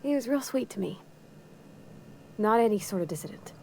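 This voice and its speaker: A woman's voice: medium pitch, animated, normal speed, darkish tone, a little hiss or hum, lightly acted. An actress playing an earnest, shy young woman mechanic in a frontier colony video game, speaking in a hesitant, folksy, polite way. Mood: nervous, earnest.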